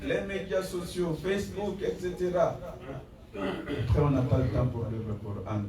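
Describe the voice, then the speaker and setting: A man, an imam, preaching a Friday sermon with rhetorical questions, mixing in recited Arabic verses. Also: a little high. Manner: exhorting, rhetorical questions, rising emphasis. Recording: echoey hall from far off.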